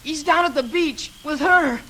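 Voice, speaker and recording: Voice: sits high, normal speed, pushed and bright. A performer playing an eager, nosy young boy in an old radio drama, colloquial and pushy. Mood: breathless, urgent. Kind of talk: 1940s radio acting. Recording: noisy old radio recording.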